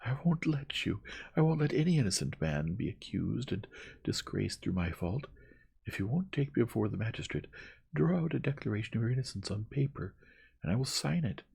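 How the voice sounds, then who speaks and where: A man plays a frantic young Victorian lady in a storybook reading. The voice is lifted high and swings widely, with a husky edge. The delivery is vehement, remorseful and dramatic. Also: very animated, moderate pace, a little mellow, full character voice. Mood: frantic, commanding.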